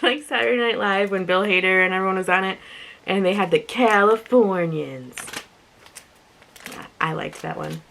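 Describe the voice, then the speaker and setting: A woman with a slightly raspy voice, chatting casually and thinking aloud as she presents an unboxing video with giggly asides. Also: mid-range voice, a bit bright, a little hiss or hum, rough recording. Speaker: unboxing and review vlogger.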